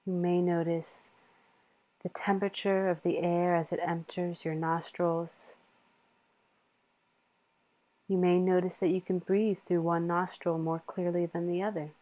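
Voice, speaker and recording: A woman leading a guided meditation in a soothing, measured manner with long pauses. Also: lowish voice, level tone, warm tone.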